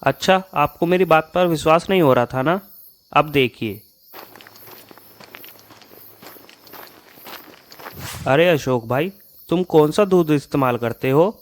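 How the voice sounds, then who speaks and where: A man's voice: fairly high pitch, moderate pace, slight background noise, natural voice. A man playing a suspicious, grumbling male customer in a Hindi cartoon tale, insistent and accusing in manner. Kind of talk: Hindi moral-story cartoon dialogue. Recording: music behind the voice.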